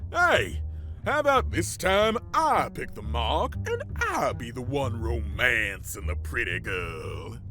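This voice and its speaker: An actor voicing a big, crude, hot-tempered alligator thief in an audio drama, with music underneath. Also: male voice, mid-range voice, very animated, slow pace, sharp tone, full character voice. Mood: smug, scheming. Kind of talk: audio drama character acting.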